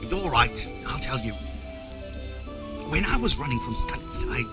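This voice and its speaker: A man's voice: medium pitch, lively intonation, unhurried, loud music behind the voice, lightly acted. Humble, anxious medieval villager, played by a man. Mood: troubled, confiding. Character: poor, anxious, grateful married medieval refugee man. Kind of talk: video game character dialogue.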